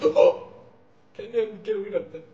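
Man acting a high-pitched, tormented possessed man. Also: mellow, lo-fi sound, lightly acted. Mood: pleading, fearful. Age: young adult. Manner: anguished, halting, swinging into outbursts. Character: tormented, demon-possessed man, desperate and despairing. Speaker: actor. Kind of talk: dramatic monologue performance. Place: tiled living room, indoors. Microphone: phone a few steps away.

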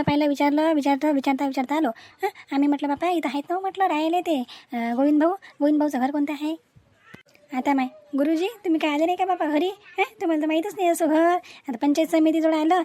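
Woman acting a high-voiced, chatty village auntie. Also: dark tone, dry recording, close to an everyday voice. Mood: complaining, chatty. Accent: rural Vidarbha Marathi. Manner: talkative, folksy, repeats herself. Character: chatty, boastful older village woman arranging a family match. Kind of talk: Marathi cartoon comedy acting.

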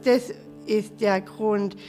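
A young woman speaking earnestly in an interview, pausing to search for words. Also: mid-range voice, steady tone, dark tone. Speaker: participant. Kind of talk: interview testimony. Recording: music bed under the voice.

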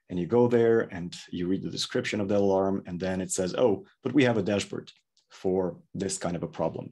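A man in his thirties with a husky voice, explaining things conversationally and pausing mid-sentence as he goes. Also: sits low, warm tone. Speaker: product engineer, interview guest. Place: indoor room, plain backdrop, video call.

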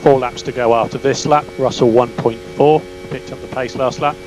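A man working as a race engineer, giving calm, measured, clipped instructions over team radio. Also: medium pitch, soft background music. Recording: noisy radio link with car hum. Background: car engine hum.

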